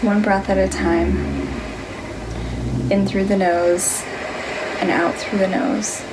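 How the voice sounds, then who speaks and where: A woman leading a guided meditation, giving gentle, unhurried instructions in a soothing manner. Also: mid-range voice, little pitch movement, background noise. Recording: music behind the voice. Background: music.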